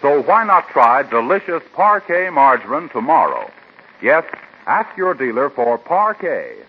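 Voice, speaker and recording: A man's voice: on the high side, wildly swooping pitch, unhurried. A man giving a polished, emphatic 1940s radio commercial as an announcer. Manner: polished, emphatic salesman delivery. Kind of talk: 1940s radio advertising read. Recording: dull, noisy 1940s radio recording.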